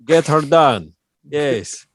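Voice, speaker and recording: A man's voice: a little high, lively intonation, drawn-out words, dry recording. A man co-hosting a comedy podcast, bantering playfully with teasing quips. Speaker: podcast co-host.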